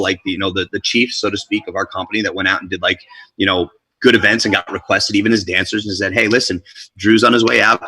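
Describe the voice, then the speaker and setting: A lively, talkative young man with a slightly low, husky voice that still has a forceful brightness. He talks loosely, with street-casual phrasing. The sound is dry and close to the mic. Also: dry recording, low-quality recording. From born in Colombia, raised in New Jersey. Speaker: guest DJ and MC. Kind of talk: casual interview storytelling.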